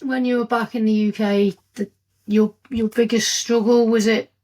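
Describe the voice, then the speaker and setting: A woman's voice: on the high side, little pitch movement, normal speed, darkish tone, rough recording. A woman hosting a podcast interview, asking chatty leading questions with tag questions. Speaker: podcast host.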